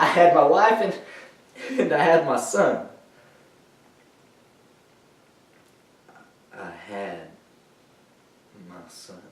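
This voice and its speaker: A young man acting a troubled, grieving war veteran in a theatrical monologue, halting and emphatic, swinging between hushed and loud delivery. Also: deep voice, close to an everyday voice. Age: teenager or young adult. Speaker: high school dramatic interpretation competitor. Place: echoey indoor room, recorded from far off. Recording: echoey room, distant microphone.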